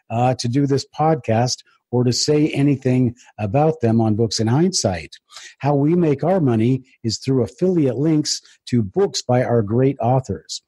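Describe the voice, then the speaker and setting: A man hosting a podcast in a conversational way, with a somewhat halting delivery. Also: medium pitch, warm tone, breathy edge, dry recording. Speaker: podcast host.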